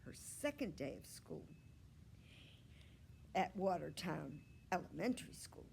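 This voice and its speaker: A woman reading a children's storybook aloud, with deliberate, expressive phrasing. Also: on the low side, creaky, a little hiss or hum. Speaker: library story reader.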